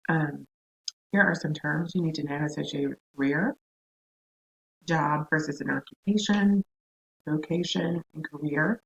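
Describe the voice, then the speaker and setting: A hoarse woman with a scratchy, gravelly voice lectures a counseling class. She talks in a chatty way and breaks off into asides. The recording sounds dull and lo-fi. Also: sits low, measured pace, long pauses. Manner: chatty, laughs, self-interrupting asides. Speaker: counseling instructor.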